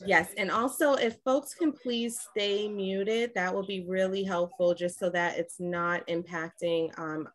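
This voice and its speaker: A woman hosting a virtual candidates' forum on a video call, speaking in a polite, measured way. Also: fairly low pitch. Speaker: host and moderator.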